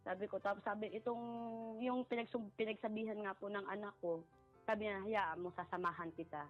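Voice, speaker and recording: A middle-aged woman, giving a personal account in a polite, halting way and often repeating herself. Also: medium pitch, steady tone. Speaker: caller seeking advice. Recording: muffled lo-fi voice over background music.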